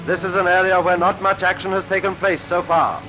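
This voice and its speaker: A man's voice: high voice, flat delivery, a little fast, low-quality recording. A British man reading scripted wartime newsreel commentary in a rousing, declamatory manner, on a vintage 1940s film soundtrack. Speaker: newsreel narrator. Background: loud newsreel music.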